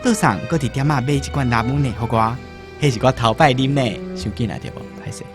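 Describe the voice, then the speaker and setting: A man's voice: mid-range voice, fast talker, close to an everyday voice. An actor with a Taiwanese accent playing a man recalling his childhood in a conversational radio drama style. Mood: reminiscing. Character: male character recalling childhood with his father. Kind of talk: radio drama acting, reminiscing. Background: loud music.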